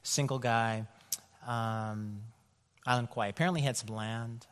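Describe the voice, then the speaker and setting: American pastor preaching in a reverberant hall. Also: male voice, mid-range voice, fairly even intonation, measured pace, a bit bright. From California, USA. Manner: conversational, loose, many fillers. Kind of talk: sermon with personal stories.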